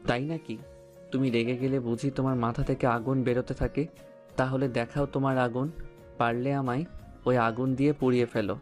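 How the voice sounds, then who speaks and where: A man's voice: medium pitch, little pitch movement, normal speed, darkish tone, soft background music, lightly acted. A man playing a teasing, stubborn young lover who speaks amorously in a romantic audio story.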